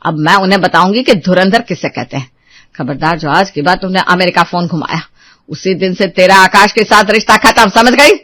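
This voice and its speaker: A woman's voice: fairly low pitch, brisk, a bit bright. A woman playing an accusing, domineering middle-aged mother-in-law in a melodramatic Hindi family drama, scolding with bitter sarcasm. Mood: furious, vengeful.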